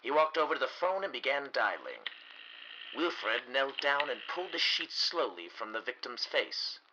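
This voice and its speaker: A male narrator tells a 1930s-style radio mystery in a dramatic, deliberate way. His mid-pitched voice rises and falls a lot, over clear background noise. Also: very animated, muffled recording. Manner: dramatic storytelling with deliberate pauses.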